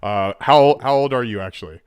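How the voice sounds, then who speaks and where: A man's voice: mid-range voice, a little fast, smooth, no echo. A man in his thirties hosting a podcast over a video call, rambling and restarting his questions. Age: 30s. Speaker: podcast host. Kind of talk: casual interview chat.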